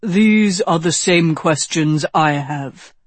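Old male wizard mentor, female reader, fantasy audiobook: shrewd, grave, deliberate, instructive. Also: low-pitched, dry recording. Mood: troubled, grave.

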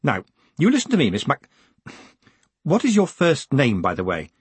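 A man voicing a smooth-talking male swindler turned postmaster, speaking in a soothing, coaxing way. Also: mid-range voice, huge pitch swings, moderate pace, no room sound, heavily acted.

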